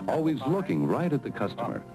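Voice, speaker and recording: A man's voice: mid-range voice, huge pitch swings, brisk, low-quality recording. An American man reading scripted, encouraging, instructive narration for a 1970s staff training film. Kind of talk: scripted narration addressed to the viewer. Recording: 1970s film soundtrack, music behind. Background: music.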